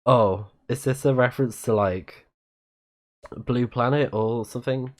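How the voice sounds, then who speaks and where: A man's voice: mid-range voice, a little slow. A man with a slightly scratchy voice, chatting casually as he streams a game and reads its text aloud. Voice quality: a bit scratchy. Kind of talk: casual live let's play, reading game texts aloud.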